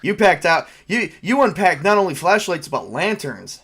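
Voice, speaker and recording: A man's voice: high voice, very animated, normal speed, fairly bright tone, husky. Casual male game master narrating. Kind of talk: narrating a tabletop role-playing game, casual.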